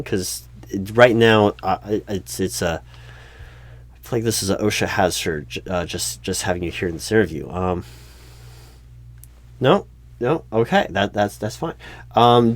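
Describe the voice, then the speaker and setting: A man's voice: fairly low pitch, dry recording. A man playing a polite, oblivious recruiting manager who stammers hesitantly and tactfully through a comedic one-sided job interview roleplay.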